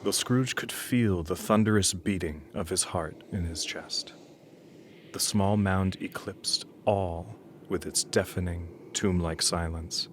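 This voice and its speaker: A man's voice: deep voice, takes it slowly, a little hiss or hum. A man narrating an audio drama as a grave, solemn storyteller with an ominous manner.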